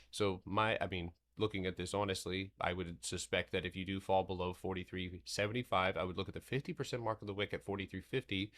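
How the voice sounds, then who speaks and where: A man hosting a casual livestream market recap. He talks in a loose, rambling way, swears casually, and slows into a steady explanatory tone when walking through charts. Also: on the low side. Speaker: stock trading streamer.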